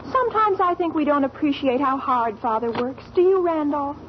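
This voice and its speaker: An American actress playing a gushing, earnest teenage daughter in a 1940s radio sitcom. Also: female voice, high voice, expressive, moderate pace. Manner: earnest, dramatic. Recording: muffled old radio recording, faint noise.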